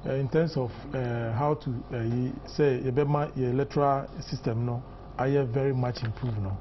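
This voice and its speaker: A man's voice: medium pitch, steady tone, normal speed, muffled recording, slight background noise. A Ghanaian man in his fifties, a political guest on a morning newspaper review, mixing English and Twi with many fillers and emphatic points. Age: 50s.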